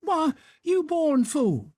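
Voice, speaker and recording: A shrill, sharp-tongued aunt, put on by a male reader swoops high and scolds with a husky edge in a dry, close sound. Her pitch leaps all over as she fusses. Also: sing-song, normal speed, no room sound. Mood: scolding. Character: feisty middle-aged Southern aunt, woman.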